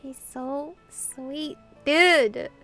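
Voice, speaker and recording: A woman reading visual novel dialogue and narration aloud in a casual, expressive way. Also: high voice, drawn-out words, soft background music. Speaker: playthrough host reading translated dialogue.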